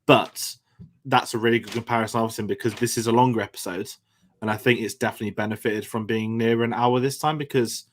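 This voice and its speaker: Fast-talking man co-hosting. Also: mid-range voice, steady tone. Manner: chatty, upbeat, rambling. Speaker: co-host. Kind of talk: casual chat.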